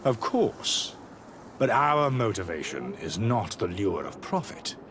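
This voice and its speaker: Game role: zealous, dismissive male theologian, pompous and brusque, very animated intonation, slight ambient noise behind. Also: fairly low pitch, slow pace. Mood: defensive, condescending. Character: haughty, dismissive male priest scholar, defensive and zealous. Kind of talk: video game dialogue acting.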